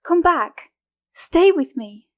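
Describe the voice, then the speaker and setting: A woman acts a young wife in a poetic radio play, frightened but defiant. Her voice is high and she declaims slowly, weighing each phrase. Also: expressive, heavily acted. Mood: desperate.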